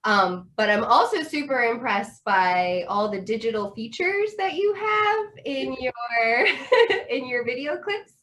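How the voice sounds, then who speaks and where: American woman host, upbeat and quick to laugh, high, lively voice. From United States. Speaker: interviewer. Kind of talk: casual interview chat.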